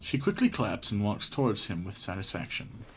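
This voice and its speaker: Low voiced guy streaming a game, chats n swears, reads text out loud, music behind him. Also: sing-song, moderate pace, poor audio. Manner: swears casually. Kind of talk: casual live stream commentary, reading game text aloud.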